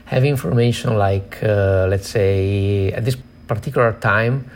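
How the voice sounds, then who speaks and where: A man hosting an interview, asking questions in a conversational way with a halting, think-aloud delivery. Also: lowish voice. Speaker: interviewer and host.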